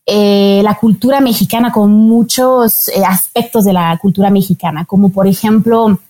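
A woman's voice: medium pitch, steady tone, moderate pace, dark tone. A woman from France with a slight French accent, a guest answering interview questions in a thoughtful way with many hesitations.

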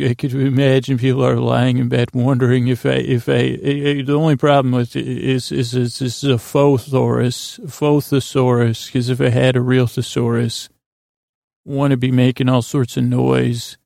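Male bedtime podcast host, very creaky and mellow, lulling, meandering and hesitant delivery. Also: mid-range voice, flat delivery, no room sound, studio quality. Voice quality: gravelly, creaky. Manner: languid, meandering, halting, digressive. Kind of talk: rambling bedtime monologue meant to lull listeners to sleep.

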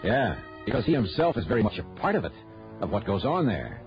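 An American actor playing a wry, sardonic insurance investigator in a 1960s radio detective drama, with clipped slangy talk. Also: male voice, on the low side, sing-song, a little fast, over music. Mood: brisk narrating. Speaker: lead actor and narrator. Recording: dull old radio broadcast recording.

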